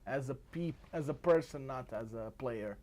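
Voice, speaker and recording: A man's voice: medium pitch, moderate pace, darkish tone. Friendly male podcast host, laughing. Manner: friendly, laughs often, slightly broken English. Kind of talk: casual interview chat.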